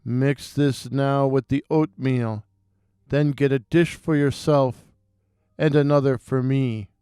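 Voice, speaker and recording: Man voicing a slow, commanding walking corpse. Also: mid-range voice, fairly even intonation, warm tone, smooth, no echo, studio quality, lightly acted. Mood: grim command. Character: commanding male walking corpse, blood-drinking and sinister.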